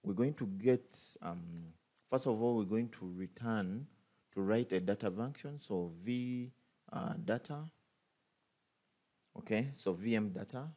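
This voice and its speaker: Low, mellow man talking through code. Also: measured pace. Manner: thinks aloud, many fillers and restarts. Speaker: programming tutor. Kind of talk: screen-recorded coding tutorial.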